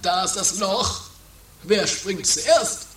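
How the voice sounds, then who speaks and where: A performer playing a grumbling, teasing, reluctant housemate in a trashy comedy radio play, sardonic and animated, off-mic in an echoey space. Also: medium pitch, slow, slight background noise.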